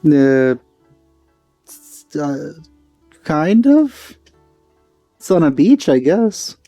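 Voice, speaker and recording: A man's voice: a little high, big pitch swings, extremely slow, warm tone, dry recording, faint music behind. A man giving casual, offhand commentary with dry remarks as he plays a game. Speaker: let's play streamer.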